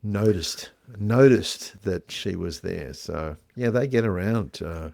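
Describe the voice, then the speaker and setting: A man with a very low voice recounts a story in an easy, chatty way, pausing often for ums and uhs. The sound is close and dry, with almost no room around it. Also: creaky, no echo. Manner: relaxed storytelling with fillers. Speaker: podcast co-host. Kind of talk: casual chat.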